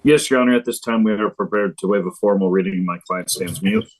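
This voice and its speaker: A man working as a defense attorney, speaking in brisk, formal courtroom phrasing. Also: fairly low pitch, rough recording.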